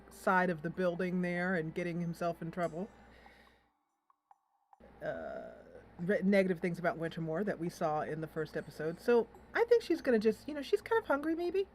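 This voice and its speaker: A woman's voice: fairly low pitch, a little mellow, a little hiss or hum. A woman hosting a casual let's play, thinking aloud in a playful, giggly way as she comments on the game. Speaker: host of a gaming channel.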